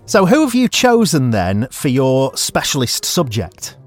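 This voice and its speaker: An upbeat man hosting a quiz. His pitch moves around a great deal as he asks questions. Faint music plays underneath. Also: wildly swooping pitch, measured pace, dry recording. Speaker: quiz host.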